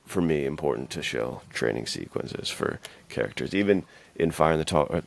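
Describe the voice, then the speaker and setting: A man's voice: very deep voice, animated, moderate pace, vocal fry, no echo. A man hosting a podcast, chatting casually in an earnest, reflective and informal manner. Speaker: podcast host, adventure fiction author. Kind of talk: casual chat about writing and self-discipline.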